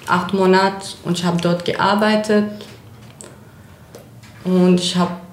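A young woman from Syria, hosting a community radio interview in slow, careful speech with small grammar slips. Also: on the low side, little pitch movement, slight background noise. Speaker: radio show host. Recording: roomy echo.

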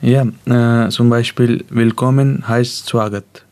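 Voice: mid-range voice, fairly even intonation, slow pace, a little mellow. A nineteen-year-old from Afghanistan, a native Punjabi and Pashto speaker, talking casually about personal experiences. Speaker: youth radio participant.

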